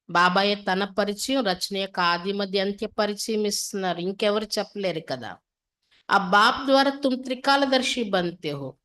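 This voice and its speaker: Female spiritual teacher, mid-pitched voice. Reads scripture and explains it step by step, repeating and questioning. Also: a bit bright. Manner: instructive, repeats and paraphrases, with rhetorical questions. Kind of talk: religious discourse, reading aloud then translating and explaining.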